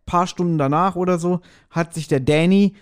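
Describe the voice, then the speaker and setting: A man co-hosting a podcast in casual chat, musing out loud in colloquial words and repeating himself for emphasis. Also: high-pitched, steady tone, normal speed, clean tone. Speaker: podcast co-host. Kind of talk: casual chat recapping a radio play.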